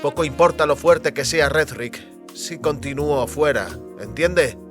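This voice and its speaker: A man's voice: on the high side, unhurried, close to an everyday voice. An actor as a wary, determined man, music behind. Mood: urgent, determined. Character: wary, determined man trapped between government and big business. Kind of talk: audio drama acting.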